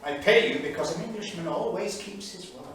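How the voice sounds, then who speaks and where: An actor playing a bitter, erudite English diarist of the 1930s, declaiming a journal in a sardonic, literary manner. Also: male voice, high-pitched, fairly quick, fairly bright tone. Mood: scornful irony. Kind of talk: staged dramatic reading of a journal. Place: theatre studio stage, far microphone. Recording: distant echoey theatre studio, faint noise.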